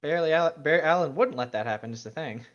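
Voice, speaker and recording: A man's voice: fairly high pitch, fast talker, fairly bright tone. A man co-hosting a fan fiction read-aloud, moving between casual, mildly sweary chat and plain reading. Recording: dull lo-fi voice recording.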